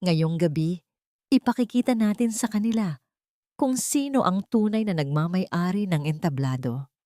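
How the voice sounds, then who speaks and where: A woman's voice: fairly low pitch, expressive, normal speed, no room sound, natural voice. Woman voicing a tender, proud Filipino mother, dry sound. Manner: whispered-soft, loving, solemn.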